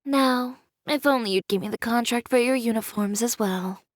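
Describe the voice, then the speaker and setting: An actress playing a cool, blunt young fashion designer in a video game, speaking in a composed, dry-witted, brisk way. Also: female voice, on the high side, no room sound, lightly acted. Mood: wry.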